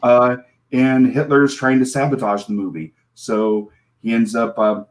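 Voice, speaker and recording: A man in his forties tells a long story in casual podcast talk. He rambles, restarts, laughs at himself and acts out what others said. His voice sits at an ordinary middle pitch. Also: flat delivery, measured pace. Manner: rambling, self-deprecating storyteller who laughs often and acts out quotes. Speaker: comic book creator guest.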